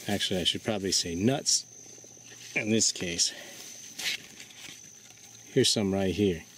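A man vlogging outdoors under trees, talking in a relaxed, explanatory way to his handheld camera. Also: deep voice, measured pace. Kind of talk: casual outdoor show-and-tell. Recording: faint insect and cricket noise.

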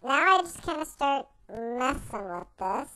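A young man explaining a software tutorial step by step, his voice run through a pitch shift effect that raises it into a chipmunk sound. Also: big pitch swings, measured pace, clear, resonant tone, dry recording, exaggerated voice, low-quality recording. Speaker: video tutorial host. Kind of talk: screen-recorded software tutorial.

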